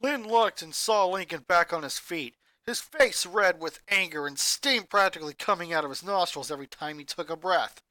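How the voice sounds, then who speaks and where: A man's voice: high-pitched, normal speed, few pauses, pushed and bright, no room sound. A man dramatically reading a fanfic aloud and narrating the action. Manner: dramatic, building intensity. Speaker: fanfic reader.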